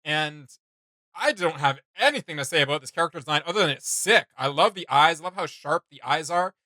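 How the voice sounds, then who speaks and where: A man with a bright, forward voice pitched a little high gives casual reaction commentary. He rambles in slangy, enthusiastic fan talk, and the sound is close and dry. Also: animated, fairly quick, dry recording. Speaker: reaction video host.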